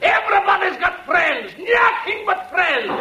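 An actor playing a hot-tempered, blustering film director who rants in an old radio comedy. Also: male voice, very high voice, sing-song, a little slow, a faint crowd, full character voice. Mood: explosive rage. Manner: ranting, shouting. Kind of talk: 1940s radio comedy acting. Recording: old crackly radio broadcast, echoey, audience.

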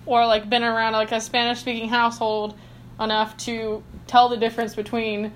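A woman with a fairly high voice, chatting casually. Her talk is informal and rambling, with swearing thrown in freely. Noise is clearly audible in the background. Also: completely flat delivery, normal speed. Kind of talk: casual banter.

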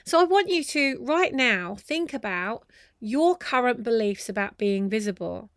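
A woman host giving a motivational coaching talk to viewers, speaking emphatically and deliberately, often building lists of repeated phrases. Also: fairly high pitch, lively intonation, no room sound, studio quality.

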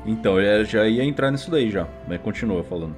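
A man's voice: lowish voice, expressive, fast, a little mellow, faint music behind. A man with a Brazilian accent hosting a podcast in an informal, slangy, chatty way. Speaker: podcast host. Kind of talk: casual podcast chat.

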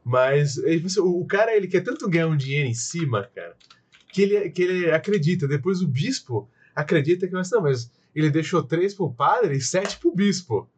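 A man's voice: fairly high pitch, animated, brisk, breathy edge. A Brazilian man co-hosting a casual film review chat, who animatedly quotes lines from the film. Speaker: podcast co-host.

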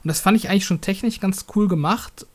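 A man's voice: high-pitched, fast, a little mellow. A young adult man co-hosting a casual podcast, talking hesitantly with many ums and restarts. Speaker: podcast co-host.

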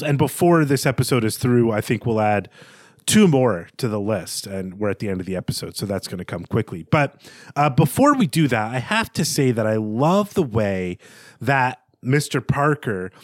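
Man, medium pitch, dry studio-like sound, analytical, conversational, thoughtful hesitant phrasing, literary podcast co-host. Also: no room sound.

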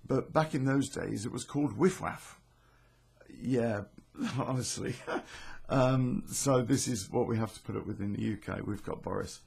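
A British man with a hoarse, croaky voice, hosting a podcast in a rambling, self-deprecating, sweary way. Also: mid-range voice, measured pace, a little mellow. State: hoarse from illness. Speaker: podcast host and storyteller. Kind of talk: casual chatty intro to a podcast.

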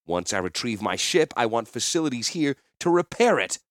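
A man's voice: mid-range voice, big pitch swings, moderate pace, fairly bright tone, dry recording, clean studio sound, pitched above the performer's own voice. A man voicing a swaggering, defiant warrior hero who speaks in a commanding way in a fantasy audiobook. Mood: firm bargaining. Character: boastful, defiant legendary male warrior hero.

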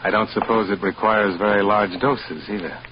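An actor playing a smooth, scheming hotel manager who narrates his murderous plans in a formal, measured way, in old-time radio drama style. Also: fairly low pitch, fairly even intonation, slight background noise, lightly acted. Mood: polite, probing. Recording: muffled old radio recording, loud music behind.

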